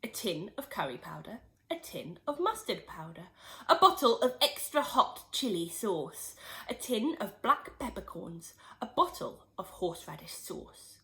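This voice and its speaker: A woman teacher reading a children's book aloud in an expressive, animated way. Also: mid-range voice, measured pace. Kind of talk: storybook read-aloud.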